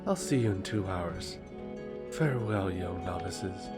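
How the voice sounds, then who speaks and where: A man's voice: deep voice, animated, unhurried. A man playing a haughty, needling royal emissary in a fantasy audio roleplay, formal, condescending and mocking. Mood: smug amusement. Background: music.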